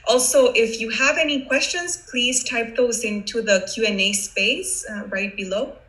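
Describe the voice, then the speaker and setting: A woman's voice: fairly high pitch, unhurried, fairly bright tone. A woman in her thirties from Honduras, earnestly opening an online panel over a video call, formal with small restarts. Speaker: webinar host.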